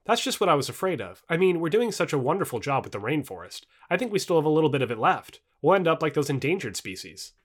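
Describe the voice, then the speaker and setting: A man's voice: on the high side, big pitch swings, quick pace, hardly any pauses. A man voicing a skeptical, sarcastic present-day woman in an audio book dialogue. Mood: wry. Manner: wry, sarcastic, questioning.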